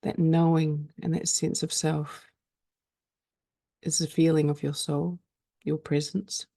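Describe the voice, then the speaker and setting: A woman guides a meditation in a calm, soothing manner. Her voice is mellow, with a heavy creak at its edges, and it sits very close and dry. She leaves frequent pauses between phrases. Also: low-pitched, dry recording.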